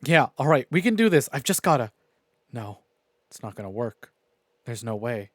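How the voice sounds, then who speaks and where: A man streaming a visual novel, reading it aloud and reacting in an expressive, sweary way. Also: mid-range voice, moderate pace, dry recording. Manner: expressive, profane. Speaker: game streamer.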